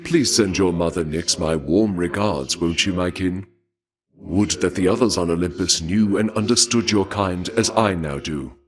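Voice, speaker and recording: An actor playing a suave, courtly and bloodthirsty god of war in a video game, speaking in a formal, archaic, measured way with polite menace. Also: male voice, sits low, lightly acted. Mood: courteous, intrigued. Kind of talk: theatrical scripted video game dialogue.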